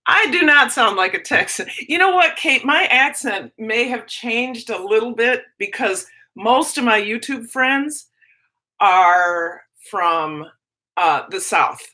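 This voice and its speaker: Chatty Minnesotan woman, bright voice. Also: medium pitch. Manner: chatty, rambling, self-interrupting. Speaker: teacher and livestream host. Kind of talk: casual livestream chat, reading viewer comments.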